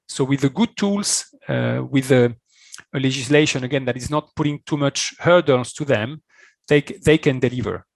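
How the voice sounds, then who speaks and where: A man speaking off the cuff as a conference panelist, explaining in a halting way and often repeating words. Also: medium pitch, vocal fry, no echo. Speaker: conference speaker, climate initiative representative. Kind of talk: spontaneous explanation at an online conference.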